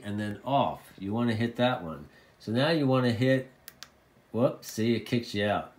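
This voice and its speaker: Man giving a casual radio tutorial. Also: mid-range voice. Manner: informal, repeats phrases for emphasis. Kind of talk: hands-on product demonstration, casual explaining. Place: indoors at a desk.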